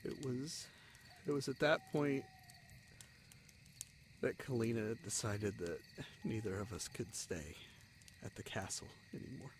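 A man playing a bitter, wounded young spider prince in a fantasy audio drama, speaking in halting, emotional phrases with heavy pauses. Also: mid-range voice, a little hiss or hum, natural voice. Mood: hurt, indignant. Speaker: voice actor in an audio roleplay.

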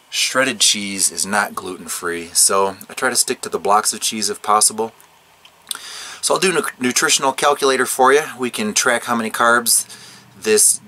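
A man in his thirties talking casually to a handheld camera outdoors at a snowy campground, relaxed and chatty with fillers. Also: mid-range voice. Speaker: vlogger. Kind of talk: casual vlog talk to camera. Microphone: handheld camera at arm's length.

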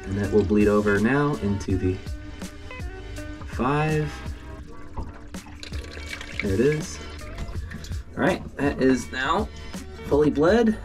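A man in his thirties giving a relaxed step-by-step how-to explanation inside a small sailboat cabin. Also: mid-range voice, slow pace, pauses often. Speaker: sailing vlogger. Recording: background music over voice.

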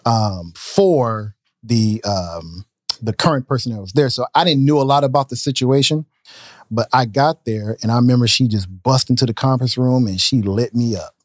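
A man in his thirties, a business owner telling stories in a casual podcast interview, relaxed and talking with his hands. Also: medium pitch, normal speed, no echo. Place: podcast studio, indoors. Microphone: studio mic on a boom arm. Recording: dry close studio sound.

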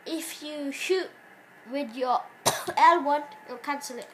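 High-voiced sick woman with a cough. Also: slow, noisy background. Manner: rambling, stops to cough. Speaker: gaming channel host. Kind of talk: casual gameplay commentary.

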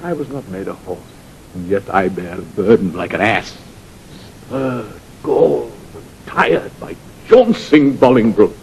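A man's voice: very animated, creaky, darkish tone, full character voice. An actor with a British accent playing a proud, anguished deposed king in a lyrical, declamatory Shakespearean audio drama. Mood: bitter self-reproach. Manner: declamatory, lyrical, drawn-out delivery. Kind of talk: classical Shakespearean radio acting. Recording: noisy 1960 drama recording with rumble.

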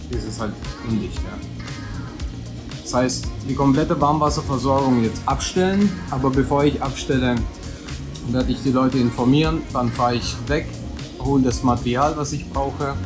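Plumber talking from across an echoey room. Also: male voice, medium pitch, distant mic. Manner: matter-of-fact, step-by-step work talk. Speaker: plumber and heating installer. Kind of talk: on-the-job explaining for a video. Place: building's heating room.

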